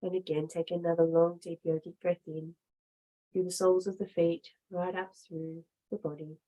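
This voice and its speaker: A woman with a fairly low voice leads a guided relaxation as a yoga teacher. She gives measured instructions in short phrases, leaving long pauses between them. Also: little pitch movement, dark tone, highs cut off.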